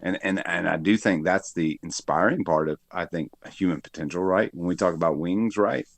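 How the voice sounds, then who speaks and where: A man in a casual podcast chat, thinking out loud with reflective tag questions. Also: sits low, moderate pace, creaky, no room sound. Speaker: podcast co-host.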